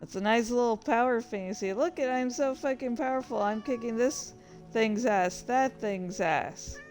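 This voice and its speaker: A woman's voice: on the high side, normal speed, a little mellow, soft background music, poor audio. A woman telling gaming stories casually as a host, in a rambling, informal, sweary way. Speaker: host of a reading and gaming channel. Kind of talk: casual storytelling about gaming.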